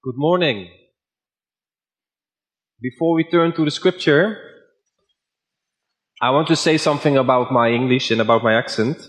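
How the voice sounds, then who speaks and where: A man with a Dutch accent, a guest preacher giving a sermon in simple, plain English at a measured pace. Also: medium pitch.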